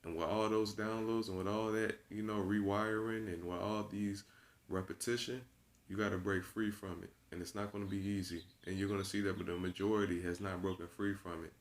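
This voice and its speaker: A man's voice: on the low side, little pitch movement, fairly quick. A man in his twenties talking straight to his viewers, blunt and profane, preaching and repeating himself for emphasis. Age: 20s. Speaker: vlogger. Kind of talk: unscripted monologue to camera.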